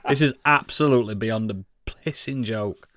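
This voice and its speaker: A man's voice: fairly low pitch, moderate pace, over a noisy crowd. A British man co-hosting a podcast in casual banter, blunt, teasing and sweary. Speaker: podcast co-host.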